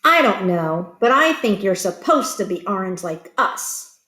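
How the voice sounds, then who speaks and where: A woman's voice: mid-range voice, very animated, a little slow, sharp tone, reverberant. A woman voicing big, boastful storybook pumpkins with an exaggerated, teasing manner. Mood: taunting. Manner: taunting, exaggerated.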